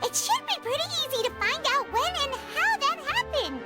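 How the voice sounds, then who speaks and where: A woman voicing a tiny, curious, eager childlike companion creature in a video game, with a squeaky, bubbly delivery. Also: sing-song, moderate pace, sharp tone, full character voice. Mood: curious, pondering. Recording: game music behind the voice.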